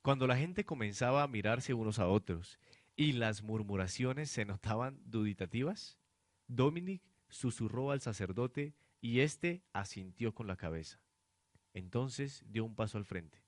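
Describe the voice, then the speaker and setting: Guy narrating a radio play, medium pitch, dramatic storytelling, really dry close sound. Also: expressive, a little slow, no echo.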